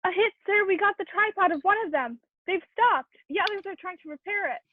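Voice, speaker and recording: A woman's voice: very high voice, fairly quick. A woman playing an anxious artillery observer in a radio play, reporting to a superior over thin, muffled call audio. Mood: excited.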